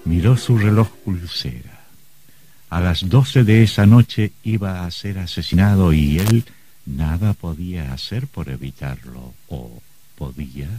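A man narrates a radio thriller with solemn suspense. His voice is very low and mellow, and he takes his time over each phrase in a measured, dramatic style.

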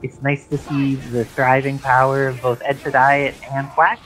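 A man's voice: medium pitch, flat delivery, moderate pace, fairly bright tone, poor audio. A man giving explanatory, articulate live play-by-play of a fighting game as an esports commentator. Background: game music under the commentary.

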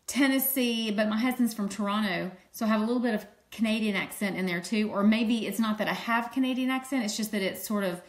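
A woman's voice: medium pitch, fairly quick, few pauses. A woman in her fifties with a mild Southern accent, chatting on a phone livestream at home, greeting viewers and laughing easily. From Louisiana, US. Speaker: makeup seller hosting a livestream. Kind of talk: casual livestream chat. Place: indoors at home, at a table. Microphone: phone camera close up.

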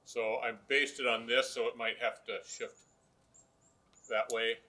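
Builder guy outside on site, bright sharp voice, walks through the measurements. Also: medium pitch, flat delivery, unhurried. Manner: practical, explaining step by step. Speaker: builder explaining framing work. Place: outdoors at a construction site.

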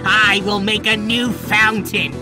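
A woman's voice: medium pitch, level tone, slow pace, close to an everyday voice. A woman voicing an earnest, self-important young male bird in a video game fandub. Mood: grand, determined. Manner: grandiose, earnest. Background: clear music.

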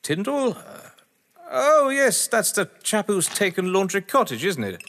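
Echoing hall, male actor as haughty English gentleman, lively intonation, florid phrasing, pompous, audio drama. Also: high-pitched, a little slow, heavily acted. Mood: evasive. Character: haughty, well-to-do English gentleman, breezy and evasive. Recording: reverberant hall with music behind.

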